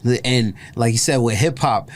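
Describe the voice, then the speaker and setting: A man's voice: medium pitch, moderate pace. A man hosting a casual reaction chat, rambling and filling his speech with "like" and "yo". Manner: rambling, heavy with "like" and "yo", restarts often. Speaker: host.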